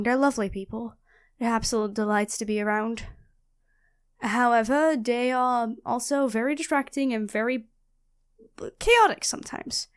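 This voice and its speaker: A woman playing a nerdy, chatty young student in an audio roleplay, expressive and flustered, often apologizing and correcting herself. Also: fairly high pitch, clear, resonant tone, lightly acted. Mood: friendly, chatty. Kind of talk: audio roleplay acting addressed to the listener.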